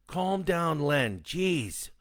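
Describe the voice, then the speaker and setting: Panicked husband, voiced by a man reading all roles: shrill, stammering pleas, jumpy and loud. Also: big pitch swings, drawn-out words, no room sound, studio quality, heavily acted. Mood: pleading. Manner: frantic, stammering, high-pitched pleading. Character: panicked pleading middle-aged husband.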